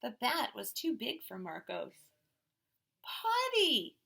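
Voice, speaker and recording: A woman teacher reading a picture book aloud to young children in expressive, simple phrases. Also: fairly high pitch, unhurried, husky.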